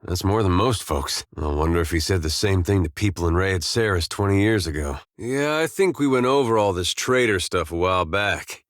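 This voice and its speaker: A man's voice: deep voice, lively intonation, normal speed, hardly any pauses, dry recording. A man playing an easygoing, loyal farm-boy soldier in a video game, with an American accent and a folksy, plainspoken, wry manner. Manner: folksy, laid-back drawl, dry humor. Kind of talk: scripted video game dialogue.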